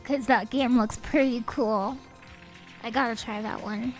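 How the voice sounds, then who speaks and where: Soft, sniffly young woman streaming. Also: a little high, fairly even intonation, unhurried, rough recording. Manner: soft-spoken, reads chat aloud. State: sniffly, a bit stuffed up. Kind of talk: casual gaming stream chat. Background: faint game music.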